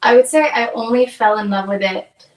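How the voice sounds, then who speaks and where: A woman's voice: fairly high pitch, steady tone, moderate pace, far from the mic. Chatty young woman from Hawaii, echoey. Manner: upbeat, chatty, acts out quoted exchanges. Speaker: guest singer-songwriter. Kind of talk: casual interview chat. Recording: echoey room, voice from off mic.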